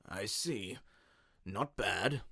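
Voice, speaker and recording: A man's voice: fairly low pitch, animated, drawn-out words, creaky, sharp tone, husky, no room sound, voice pushed down, exaggerated voice. Curt, embittered elderly uncle acted by a man for a storybook radio play; grudging mutter, cold temper, dry sound. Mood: scornful, grudging. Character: cold, bitter old male inventor, jealous uncle.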